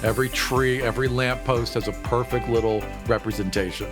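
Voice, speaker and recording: A man's voice: medium pitch, a little fast. A man chatting casually and jokily, adding comic details to an improvised tabletop game. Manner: jokey, animated, builds on others' bits. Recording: faint background music bed. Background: music.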